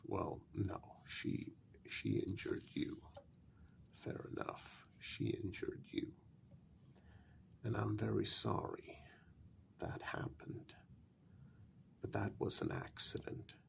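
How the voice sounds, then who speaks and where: Man acting slow, gravelly, soft-spoken castle confidant. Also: low voice, full character voice. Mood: patient, conciliatory. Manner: slow, measured, patient, with long pauses. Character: calm, apologetic male confidant explaining a vampire curse in an old castle. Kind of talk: soft-spoken ASMR role-play.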